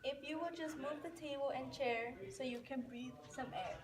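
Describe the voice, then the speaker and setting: A woman's voice: high-pitched, normal speed, a crowd in the background, natural voice. A woman playing a greedy, scheming cottage sister who talks in rhyme in an amateur puppet show. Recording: echoey, distant mic, audience noise.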